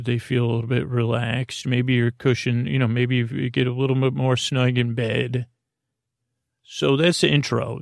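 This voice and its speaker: A man with a creaky voice hosting a sleep podcast, talking in a soothing, meandering monologue that halts and drifts into tangents. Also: medium pitch, no room sound, clean studio sound. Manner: meandering, halting, trailing into tangents; soothing, gently lulling. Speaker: podcast host.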